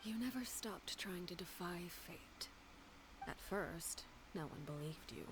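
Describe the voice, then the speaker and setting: An actress voicing a cold, stern female leader who speaks to her foster children in a measured, grave and commanding way, in video game dialogue. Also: fairly low pitch, expressive, in a whisper, low-quality recording.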